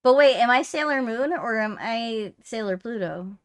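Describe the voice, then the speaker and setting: A woman's voice: on the high side, expressive, normal speed. A woman streamer in casual, playful banter with a friend on a livestream.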